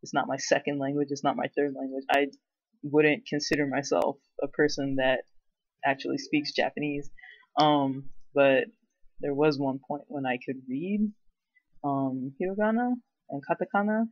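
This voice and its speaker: A man's voice: mid-range voice, dry recording, dull audio. A man giving a casual, unscripted show-and-tell as a hobbyist vlogger, rambling and hesitant in a self-effacing way.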